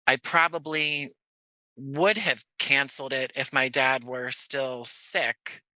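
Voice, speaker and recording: American guy being interviewed, really bright crisp-edged voice, dry close sound, kinda reflective storyteller. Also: mid-range voice, fairly even intonation, takes it slowly, no room sound, poor audio. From Jersey City, United States. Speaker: podcast guest, audio playwright.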